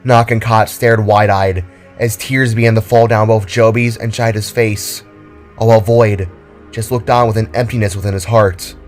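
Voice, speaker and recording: A man's voice: fairly low pitch, steady tone, normal speed, soft background music. A man narrating a scripted, dramatic story.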